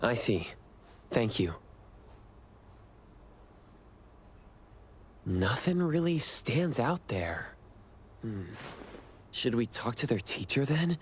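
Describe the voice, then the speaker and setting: Terse cool young anime game hero, swingy mid voice, slight bg noise. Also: male voice, medium pitch, very animated, slow. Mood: uncertain.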